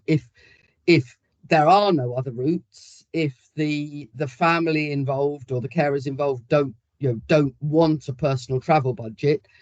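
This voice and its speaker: Higher-voiced man councillor, lo-fi sound. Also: a little mellow, dry recording. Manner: halting, repeats words. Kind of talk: asking questions at a committee meeting.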